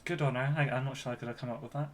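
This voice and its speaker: Mid-pitched man chatting on a noisy podcast. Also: fairly even intonation, rapid-fire. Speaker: podcast co-host. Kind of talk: casual reactive chat. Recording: clear background noise.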